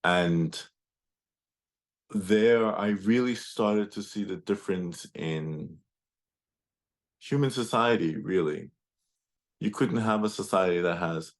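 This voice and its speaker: A man whose first language is German, telling his life story on a video call in a thoughtful, reflective way, pausing often and restarting his sentences. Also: deep voice. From Turks and Caicos Islands and Germany. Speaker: guest speaker. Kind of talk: personal storytelling.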